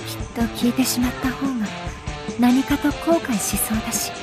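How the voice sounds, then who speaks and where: A woman voicing a cool, poised, sharp-tongued teenage schoolgirl in a visual novel, with polite and pointed phrasing. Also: fairly high pitch, rapid-fire, close to an everyday voice. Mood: cold, dismissive. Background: guitar game music.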